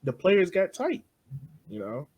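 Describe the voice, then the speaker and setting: A young man co-hosting a casual sports podcast, talking loosely with frequent laughs and soft trailing asides. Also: high voice, wildly swooping pitch, a little slow, husky, dull audio. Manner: loose and chatty, laughs often, trails off softly. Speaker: podcast co-host.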